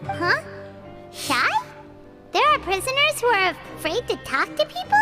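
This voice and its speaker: A woman voicing a tiny, excitable female fairy companion in a video game, with a squeaky, bubbly voice. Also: measured pace, heavily acted. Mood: curious. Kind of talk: game voice acting. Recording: game audio under music.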